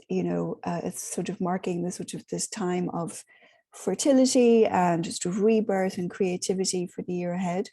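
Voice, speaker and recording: A hoarse woman with a sore throat, gently leading an online spiritual group in a rambling, casual way with many pauses. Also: lowish voice. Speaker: spiritual workshop host.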